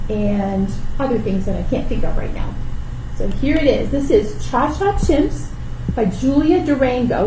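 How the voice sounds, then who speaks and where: A woman hosting a children's storytime, talking in simple, encouraging phrases as she reads and teaches rhymes. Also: high voice. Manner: simple, encouraging talk addressed to small children. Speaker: library storytime host. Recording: roomy, distant microphone with background noise.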